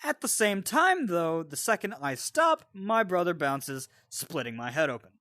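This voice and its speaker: A man with a fairly high voice tells a story from his own life in a casual, animated style. He swears freely and drifts into explanatory asides. The sound is dry, with very little room. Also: a little slow, dry recording. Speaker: storyteller and co-host. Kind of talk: casual personal storytelling with banter.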